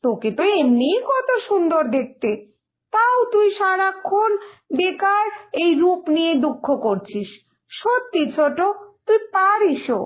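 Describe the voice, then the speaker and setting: A woman voicing a sensible, patient elder sister-in-law who gently chides, in an animated moral story. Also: very high-pitched, a little slow, exaggerated voice. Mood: resigned, chiding. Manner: chiding, patient, big-sisterly.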